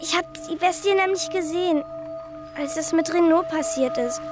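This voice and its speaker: A woman's voice: very high voice, moderate pace, over music. An actress playing a seven-year-old girl in a radio drama, telling things in an earnest, childlike, matter-of-fact way. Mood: eerie, earnest.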